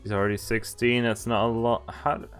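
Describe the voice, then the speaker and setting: A man's voice: medium pitch, level tone, a little fast. A man reading a visual novel aloud as a streamer, casually, stumbling now and then and dropping in asides. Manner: stumbles over read lines, interjects asides. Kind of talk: casual playthrough read-aloud commentary. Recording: music behind the voice. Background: game music.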